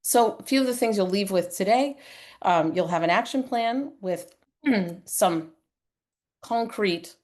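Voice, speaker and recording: A woman presenting at a conference session, hoarse from a cold and often clearing her throat, talking earnestly and conversationally with frequent fillers. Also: mid-range voice, lively intonation, measured pace, a little mellow. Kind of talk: live-streamed conference talk.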